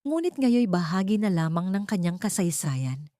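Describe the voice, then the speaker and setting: A woman narrating a sentimental story from a script in a measured, solemn, poetic manner. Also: lowish voice, big pitch swings, mellow, dry recording, clean studio sound.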